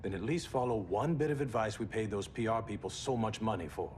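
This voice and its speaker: An actor playing a frank, earnest adviser who persuades with a gently teasing touch in a game cutscene. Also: male voice, fairly quick, close to an everyday voice. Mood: earnest, concerned. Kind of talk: video game cutscene acting. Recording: background rumble and noise.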